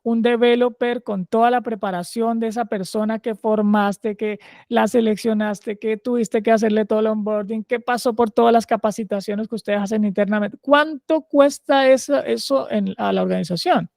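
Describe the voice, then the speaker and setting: A man's voice: high voice, steady tone, normal speed, vocal fry, few pauses, a little mellow, no room sound, clean studio sound. A man with a Caleño Colombian accent, a podcast guest, explaining ideas conversationally and often checking in with his listener. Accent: Caleño, Cali Colombian Spanish. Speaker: guest, agile culture consultant.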